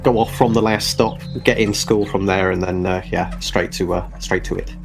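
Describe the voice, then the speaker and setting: A man's voice: sits low, steady tone, moderate pace. A man playing a tabletop game, casually describing what his character does. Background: background music.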